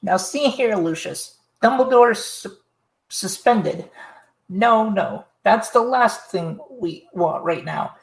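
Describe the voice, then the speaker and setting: A woman's voice: mid-range voice, slow pace, vocal fry. A woman playing a flustered, pompous male official in a fan audio drama, blustering hesitantly in a strained voice. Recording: roomy, echoing voice track.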